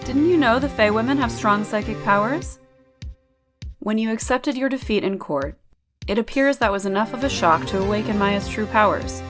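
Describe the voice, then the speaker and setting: Calm, encouraging female mentor played by an actress. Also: a little high, normal speed, over music, natural voice. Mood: reassuring. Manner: reassuring, gently firm. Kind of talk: dramatised video game voice acting.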